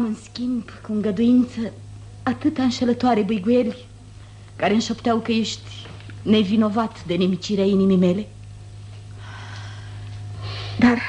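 A woman's voice: medium pitch, mellow, lightly acted. An actress playing a proud, wounded noblewoman, formal, declamatory and reproachful, in 1950s radio theatre. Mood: pained, demanding.

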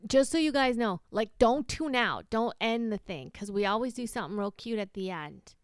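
Woman, medium pitch, smooth clear voice, earnest playful chat, dry room. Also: lively intonation, moderate pace, dry recording. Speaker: podcast co-host. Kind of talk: casual chat. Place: home room.

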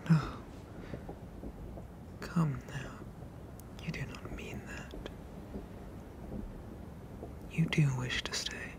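A man voicing a protective, possessive sea monster father in a hushed, soothing ASMR roleplay with formal phrasing. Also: fairly high pitch.

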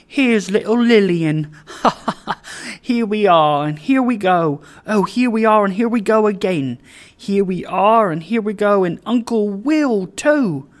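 A narrator voicing a doting, excitable old working-class father in an audiobook, exclaiming and repeating himself exuberantly. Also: medium pitch, takes it slowly, lightly acted. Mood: jubilant.

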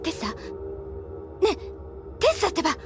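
A woman playing an outspoken high school girl in an audio drama, casual and expressive. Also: moderate pace, loud music behind the voice, lightly acted. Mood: worried. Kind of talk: Japanese audio drama acting.

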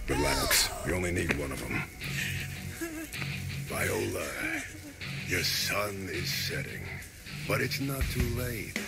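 An actor playing a huge, menacing wrestler crime boss in a video game, grandiose, smug and theatrical with a gravelly voice. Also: male voice, low voice, animated, slow pace, pauses often, heavily acted. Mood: grandiose menace. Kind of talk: video game cutscene acting. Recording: loud music over the voice.

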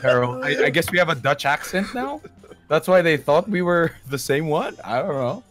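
A man co-hosts a gaming podcast over a video call with a fairly high, very animated voice. He jumps in with excitable, laughing banter over faint music. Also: normal speed. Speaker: podcast co-host. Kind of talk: casual podcast chat.

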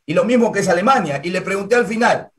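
A man's voice: high voice, animated, fast talker. A man in his forties hosting a sports show from a home room over a video call, arguing firmly and insisting on his turn to speak. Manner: firm, argumentative, claims the floor. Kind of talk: heated sports debate. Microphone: video call webcam.

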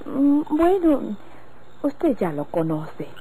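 A young Mexican country woman, coy, polite, unhurried, soft dark tone, radio drama, faint noise. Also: sits high, very animated, exaggerated voice. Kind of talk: golden-age Mexican radio drama acting. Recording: faintly noisy old radio recording.

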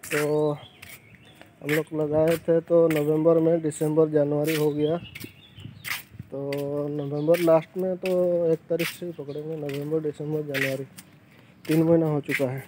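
A man's voice: a little high, little pitch movement, unhurried, noisy background. A young man vlogging on a phone held at arm's length, walking through an outdoor garden and vegetable plot and chatting eagerly to viewers. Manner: chatty, enthusiastic, addresses viewers directly. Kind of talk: casual vlog walkthrough.

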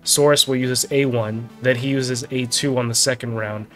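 A man's voice: mid-range voice, fairly even intonation, moderate pace. Guy w a bit of a stuffy nasal voice from being sick, chatting thru a game guide, music behind him. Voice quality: slightly nasal. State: getting over a sickness. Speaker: game guide video host. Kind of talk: casual game walkthrough commentary. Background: background music.

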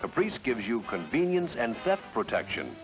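A man's voice: medium pitch, huge pitch swings, moderate pace, music in the background. An American man reading scripted 1970s promotional narration with a polished, emphatic announcer delivery, on a vintage film soundtrack.

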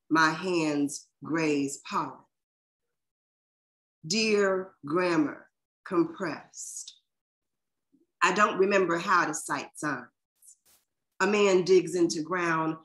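A middle-aged woman poet reading poems and introducing them casually on a video call, in a measured, deliberate way. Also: deep voice, fairly bright tone. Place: small furnished room at home, indoors. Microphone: video call computer microphone.

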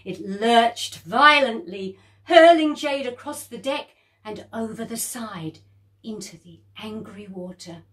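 Woman reading a kids story out loud, slow and expressive, goes high for the little girls. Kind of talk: reading a children's story aloud.

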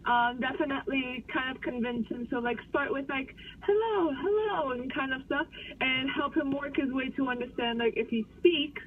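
A woman's voice: high voice, normal speed, recorded from a distance, slight background noise. A woman patiently explaining things as a customer service worker on a phone call, heard tinny through a speaker.

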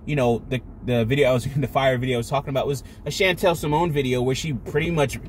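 A man's voice: medium pitch, steady tone, quick pace, a little hiss or hum. A man talking casually in a rambling, profane way, often searching for his words. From Jamaican home culture. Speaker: video creator. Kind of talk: casual monologue.

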